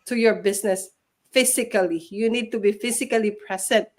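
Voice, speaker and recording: A woman's voice: medium pitch, fairly even intonation, a little fast. A woman hosting a livestream interview, upbeat and persuasive, with frequent fillers and restarts. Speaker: host.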